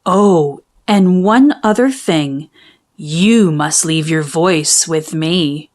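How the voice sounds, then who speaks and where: A woman voicing an evil, scheming sea witch in a storybook, bargaining in a slow, menacing manner. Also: sits low, a little mellow, pitched below the performer's own voice. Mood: sly demand.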